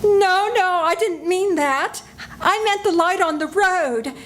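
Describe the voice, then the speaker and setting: A woman's voice: extremely high pitch, takes it slowly, fairly bright tone, a little hiss or hum, full character voice. An older woman reading the part of a panicky, pleading woman hunted by a killer in a live old-time radio play. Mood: frightened. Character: terrified woman targeted by a killer. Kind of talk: 1940s radio drama reading. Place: senior center event room.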